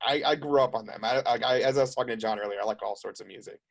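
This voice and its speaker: A man's voice: medium pitch, gravelly. A man hosting an interview in casual, eager chat, often restarting and repeating words as he talks. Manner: rapid, stumbling restarts, eager. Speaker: host.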